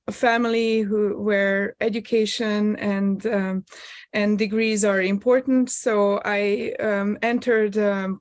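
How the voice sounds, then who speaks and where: A woman from Hungary speaks with a clear Hungarian accent, guesting on a podcast. She talks at a medium pitch, stopping often with ums and small restarts as she works through her answers. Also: no room sound. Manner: thoughtful, many ums and restarts. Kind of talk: podcast interview.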